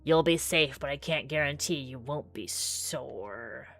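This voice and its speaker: A woman's voice: very deep voice, expressive, measured pace, fairly bright tone, voice pushed down, full character voice. A woman voicing a terse, wry male protector in a visual novel game. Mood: wry, guarded. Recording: music playing under the voice.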